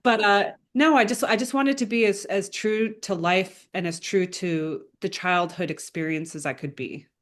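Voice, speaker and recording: A woman speaks as an interviewed filmmaker, with a mid-pitched voice. Her delivery is casual and earnest, like someone explaining her creative work in conversation. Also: normal speed, hardly any pauses. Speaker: animator and filmmaker being interviewed.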